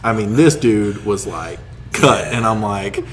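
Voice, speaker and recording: A man's voice: lowish voice, lively intonation, unhurried, slight background noise. A man telling casual stories as a podcast co-host, joking, often laughing as he talks and acting out reactions. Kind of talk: casual storytelling banter.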